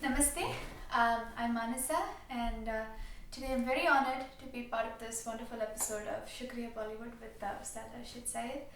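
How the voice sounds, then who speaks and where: A woman's voice: a little high, fairly even intonation, fairly quick, fairly bright tone. Eager young woman, echoey room. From South India. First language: Telugu. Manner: eager, gushing, many "you know" fillers. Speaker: guest singer. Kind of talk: casual interview chat. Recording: echoey room, distant mic, faint hiss.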